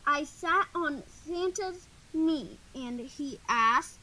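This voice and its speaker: A woman's voice: high voice, lively intonation, slow pace, sharp tone, rough recording. A teenage girl reading scripted storybook narration in a school radio play.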